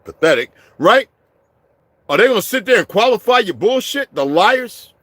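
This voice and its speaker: High, animated, ranting middle-aged man. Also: moderate pace, no echo. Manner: heated, profane, swings between muttering and shouting. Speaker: vlogger. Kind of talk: profane ranting monologue.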